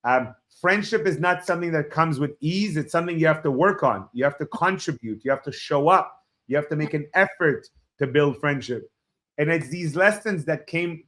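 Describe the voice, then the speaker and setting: A man in his forties from Israel, a Hebrew speaker, telling his life story as a podcast guest in a reflective, halting way. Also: a little high, a bit bright. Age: 40s. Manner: reflective, halting starts with frequent fillers. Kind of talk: personal storytelling in an interview.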